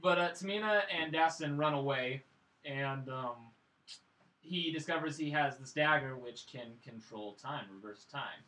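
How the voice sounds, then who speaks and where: A man co-hosting a casual film review, telling the story in an excitable, rambling way. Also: on the high side, unhurried. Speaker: podcast co-host reviewing films. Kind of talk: casual chat, film recap. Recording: echoey room, distant microphone.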